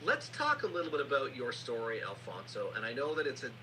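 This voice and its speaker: A man's voice: mid-range voice, big pitch swings, quick pace. A man hosting a podcast, introducing and questioning his guest in a conversational way. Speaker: podcast host. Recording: noisy, humming live stream audio.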